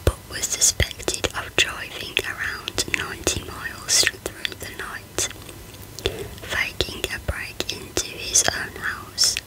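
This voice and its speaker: A woman hosting a true crime channel, reading out a case in a hushed ASMR whisper. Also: takes it slowly, low-quality recording.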